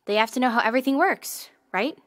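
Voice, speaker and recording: An actress playing a stubborn, self-doubting young starship ensign in an audio drama, with a casual, blunt, questioning way of talking. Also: female voice, on the high side, fast, fairly bright tone, no room sound, clean studio sound, close to an everyday voice. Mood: defensive.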